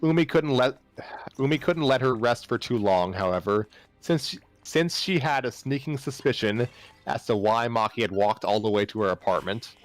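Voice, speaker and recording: A man's voice: mid-range voice, lively intonation, normal speed, soft background music. A man narrating fan fiction aloud as an amateur reader, sometimes stumbling and rereading phrases. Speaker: story narrator.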